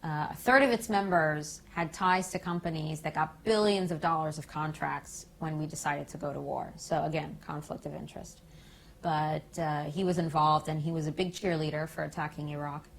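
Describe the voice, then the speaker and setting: An American woman giving earnest, emphatic political commentary as an activist in an interview, phrasing her points deliberately. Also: on the low side, a bit bright. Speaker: peace activist being interviewed.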